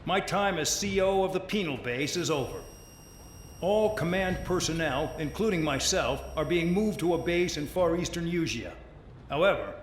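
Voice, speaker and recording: A man's voice: a little high, lightly acted. Stern, menacing military commander acted by a man, roomy echo. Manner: curt, commanding. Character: stern, menacing male air force penal base commander. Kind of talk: video game cutscene acting. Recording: roomy echo with some noise.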